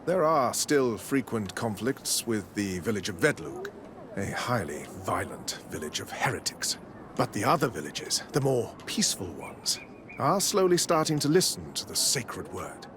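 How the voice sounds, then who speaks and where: An actor playing a zealous, smooth-talking priest in a video game, formal, preachy and condescending. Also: male voice, lowish voice, sing-song, unhurried, noisy background. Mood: smugly patient. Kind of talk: scripted video game dialogue.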